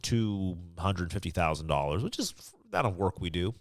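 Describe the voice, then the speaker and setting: A man's voice: sits low, lively intonation, normal speed, dry recording, clean studio sound. A man co-hosting a podcast in casual chat, enthusiastic and given to exclamations. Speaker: podcast co-host.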